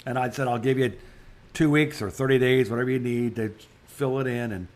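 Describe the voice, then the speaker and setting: A man's voice: mid-range voice, fairly even intonation, a little fast. A man in his sixties chatting casually as a podcast co-host, telling anecdotes in a rambling way with repeated phrases and restarts. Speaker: podcast co-host, retired radio broadcaster.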